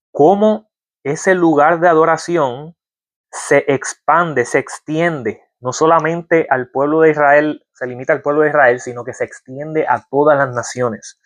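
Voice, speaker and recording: A man giving a Bible-teaching sermon, reading verses aloud and asking his listeners rhetorical questions. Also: fairly high pitch. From Puerto Rico. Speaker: preacher.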